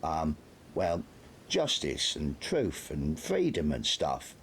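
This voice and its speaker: A man's voice: rumbling low, huge pitch swings, takes it slowly, slight background noise, exaggerated voice. A man voicing a scruffy young petty thief who whines and protests indignantly in an audiobook. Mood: nervous. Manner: whining, indignant, tearful protests. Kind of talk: audiobook character voice.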